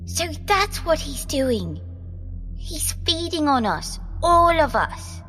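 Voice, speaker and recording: Give the voice of a composed, ageless woman guide in an audio drama. She speaks slowly with a creaky edge and swings widely in pitch. Her words ring through a long cavernous reverb over music. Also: big pitch swings, a bit bright, heavily acted. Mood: urgent realization. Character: Victoria, composed, determined woman, centuries-old guide. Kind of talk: dramatic audio fiction acting.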